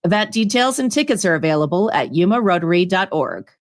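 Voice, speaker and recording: A woman's voice: lowish voice, animated, a little fast, over music. Woman reading community radio announcements.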